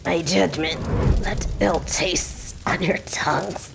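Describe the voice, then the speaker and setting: Woman acting a slow, gravelly vengeful judge. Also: lowish voice, steady tone, background noise, exaggerated voice. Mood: bitter, menacing. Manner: halting, menacing, breaks into breathy whispers. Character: vengeful snobby woman passing hellish judgment. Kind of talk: dramatic audio roleplay acting.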